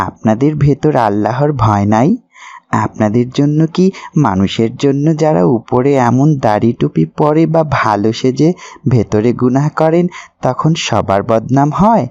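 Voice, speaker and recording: A man's voice: mid-range voice, darkish tone, natural voice. Man playing an earnest, muffled-sounding pious youth. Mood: stern, reproachful. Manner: earnest, didactic. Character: earnest, pious young man admonishing an elder. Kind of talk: cartoon voice acting, moral preaching.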